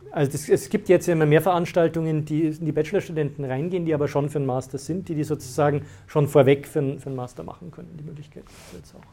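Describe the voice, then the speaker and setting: A man's voice: on the high side, animated, brisk, gravelly, pauses often, mellow. A man, a university lecturer, explaining study rules to students in a lecture room, with many fillers and restarts. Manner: hesitant, many fillers and restarts, laughs at times.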